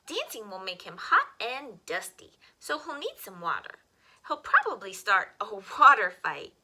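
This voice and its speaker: A woman reading picture books aloud to young children as a story time host, with expressive, simple phrasing. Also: mid-range voice, unhurried, a little hiss or hum.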